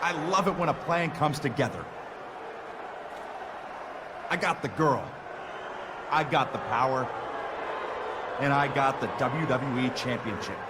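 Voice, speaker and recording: A man's voice: mid-range voice, unhurried, pauses often, natural voice. A man playing an arrogant, scheming wrestling champion in a video game cutscene, taunting and boastful. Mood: gloating. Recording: game cutscene with ambient noise.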